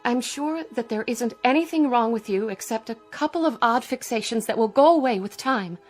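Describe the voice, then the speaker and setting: An actress playing an astronaut's concerned American wife in a radio drama, gentle and reassuring in her manner. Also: a little high, lively intonation, normal speed, faint music behind. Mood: worried, soothing.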